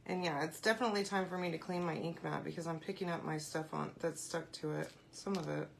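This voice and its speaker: A woman hosting a casual craft live stream, congested and often clearing her throat, chatting and greeting viewers by name. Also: fairly low pitch, fairly bright tone, a little hiss or hum.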